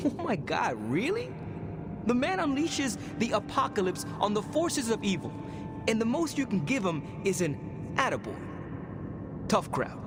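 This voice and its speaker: An actor playing a brash but insecure young hero in a video game, talking in a casual, slangy, expressive way. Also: male voice, very high voice, moderate pace, over music, full character voice. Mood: incredulous. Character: eager young vengeance-spirit hero, brash yet insecure. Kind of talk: video game cutscene dialogue.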